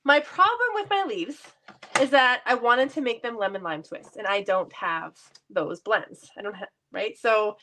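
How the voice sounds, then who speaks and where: A woman's voice: a little high, unhurried, pushed and bright, a little hiss or hum. A woman with a stuffy cold voice, chatting casually and laughing lightly as she demonstrates card making in her basement craft room. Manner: chatty, laughs often, self-deprecating.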